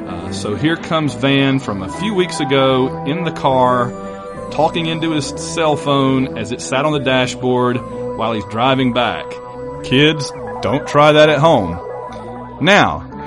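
A man's voice: medium pitch, animated, slow pace. A man hosting a podcast, giving a casual, conversational introduction with a lightly joking touch. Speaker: podcast host. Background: music.

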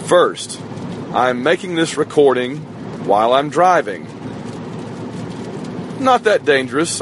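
A hoarse podcaster rambling casually to his dashboard phone on a long rainy drive, road noise humming around him, a man alone at the wheel. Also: medium pitch. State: slightly hoarse. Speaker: podcast host. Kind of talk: casual solo podcast monologue. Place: inside a moving car. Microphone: smartphone on the dashboard. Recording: road and rain noise inside moving car. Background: road noise and rain.

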